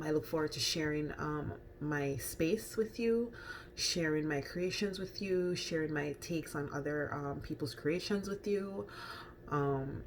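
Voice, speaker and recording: A woman with a Jamaican accent talks casually and rather low, rambling along with frequent ums and self-corrections. She speaks to camera like a friendly food vlogger. A faint noise sits under her voice. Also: a little mellow.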